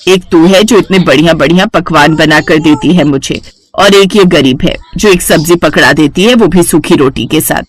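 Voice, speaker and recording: A woman's voice: low-pitched, moderate pace, breathy edge. A woman voicing a greedy, snobbish middle-aged mother-in-law in a cartoon story, with a scolding, exclaiming manner. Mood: taunting. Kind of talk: Hindi cartoon bedtime-story character acting.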